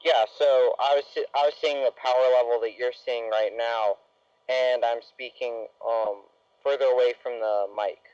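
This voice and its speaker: A man's voice: medium pitch, steady tone, normal speed, darkish tone, clear, resonant tone, no echo. Muffled young man over a CB radio. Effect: two-way CB radio transmission. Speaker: host's son on the other radio. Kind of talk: casual explanation. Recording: muffled distant two-way radio link.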